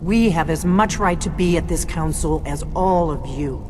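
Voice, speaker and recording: An actress playing a defiant veteran agent, curt and confrontational, in a fantasy game. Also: female voice, deep voice, normal speed, close to an everyday voice. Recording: prominent game music behind.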